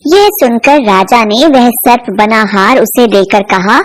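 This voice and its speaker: Female storyteller for animated fables; high pitch, slow scripted delivery. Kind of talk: scripted folk-tale narration for cartoon.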